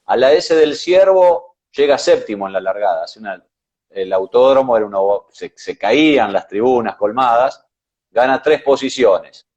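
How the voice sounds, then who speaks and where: A man with an Argentine accent, co-hosting a casual motorsport chat, talking in a measured way with hesitations. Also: medium pitch, lively intonation, darkish tone, lo-fi sound. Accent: Argentine Spanish. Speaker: co-host.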